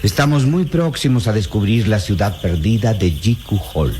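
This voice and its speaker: Male actor as elderly scholarly professor, dark mellow voice, formal, pleased, radio drama, faint background noise. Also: on the low side, expressive, moderate pace, lightly acted. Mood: amazed, satisfied.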